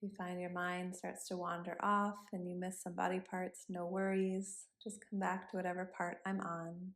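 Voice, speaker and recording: A woman yoga instructor leading a body scan meditation in a gentle, instructive manner with pauses between cues. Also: lowish voice, level tone. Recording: roomy, echoing sound.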